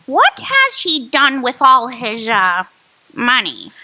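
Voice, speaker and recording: A woman's voice: fairly high pitch, huge pitch swings, slow pace, sharp tone, exaggerated voice. A woman playing a flippant, mocking townsperson gossiping in a radio drama.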